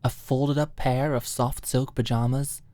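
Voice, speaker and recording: A woman's voice: very deep voice, fairly even intonation, normal speed, warm tone, no room sound. A woman reading a scripted story as an ASMR audiobook narrator.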